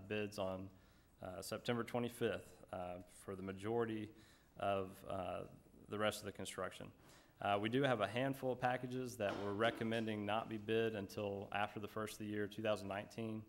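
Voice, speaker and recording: A man giving a relaxed project update at a school board meeting, with many fillers. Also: on the low side. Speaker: construction project manager.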